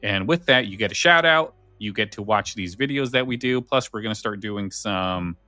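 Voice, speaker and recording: A man's voice: lowish voice, animated, moderate pace, sharp tone, no room sound, soft background music. A man hosting a podcast, chatting casually and loosely with listeners in an informal, conversational way. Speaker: podcast host. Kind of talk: casual chat, show sign-off.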